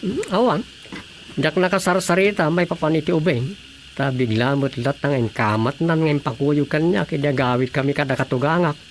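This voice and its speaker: A man's voice: on the high side, normal speed, a little hiss or hum, natural voice. A man with an Ilocano accent acting a grumbling, put-upon village councilman in a radio drama comedy. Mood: exasperated.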